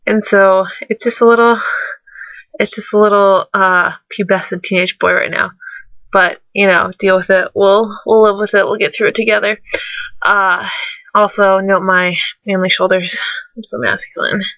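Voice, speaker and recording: A young woman, sick and rougher-voiced than usual, rambling through fan questions in a casual webcam vlog, self-deprecating and full of fillers. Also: mid-range voice, flat delivery, normal speed, fairly bright tone, no room sound. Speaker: vlogger. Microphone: webcam mic. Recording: dull lo-fi webcam audio.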